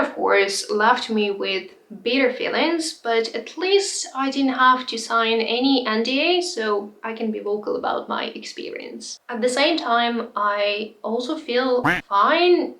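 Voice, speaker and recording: A woman's voice: fairly high pitch, fairly bright tone. Woman, 20s, vlogger; frank, reflective read, sits well back from the mic. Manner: frank, reflective, sometimes hesitant. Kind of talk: personal story vlog. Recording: roomy echo, distant from mic.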